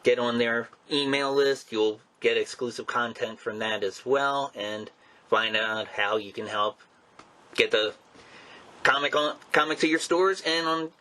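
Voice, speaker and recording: A man hosting a show in a casual, informal way, with a halting, rambling delivery. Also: mid-range voice, bright, forceful tone. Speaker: show host and interviewer. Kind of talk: casual hosting and commentary on comics history.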